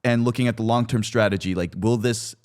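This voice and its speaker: A man's voice: fairly low pitch, steady tone, fairly quick, a little mellow, smooth. A man in his twenties talking candidly and informally as a guest about his personal life, with slang and some swearing. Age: 20s. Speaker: podcast guest, content creator.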